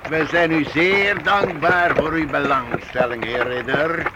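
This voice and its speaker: An actor playing a weary, glum master of travelling musicians in a period radio play. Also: male voice, a little high, a little slow. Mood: cold, formal. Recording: very noisy old radio drama recording.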